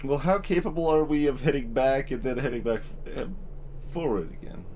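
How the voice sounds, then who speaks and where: A man's voice: mid-range voice, fairly quick, darkish tone, slight background noise. A man giving casual gaming commentary, musing aloud and deliberating as he goes. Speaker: let's play streamer.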